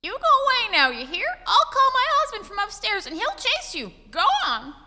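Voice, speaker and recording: A woman playing an irritable small-town 1920s housewife in a radio play, brisk and scolding on a stage. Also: extremely high pitch, sing-song, pushed and bright, full character voice. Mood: indignant. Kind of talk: old-time radio play stage acting. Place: theatre stage before an audience. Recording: reverberant theatre hall.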